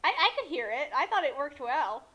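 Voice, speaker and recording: A woman's voice: high voice, lively intonation, fairly quick, sharp tone, lo-fi sound. A woman giving casual comedic commentary in a giggly, incredulous manner.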